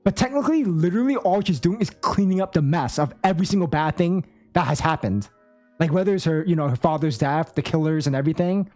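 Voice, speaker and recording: Animated male game streamer, musing informally. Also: a little high, fast talker. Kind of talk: casual live reaction commentary. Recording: game music behind the voice.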